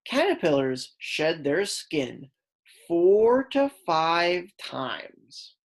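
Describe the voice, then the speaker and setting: Woman, low pitch, slow pace, teacher's read-aloud, instructional, deliberate, stressed key words. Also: sing-song. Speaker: kindergarten teacher reading aloud. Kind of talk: read-aloud lesson for young children.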